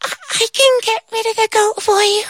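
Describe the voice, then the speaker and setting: A storyteller voicing a tiny, brave ant with a small, high voice. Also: fairly even intonation, moderate pace, a bit bright, no echo. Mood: earnest. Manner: small squeaky voice.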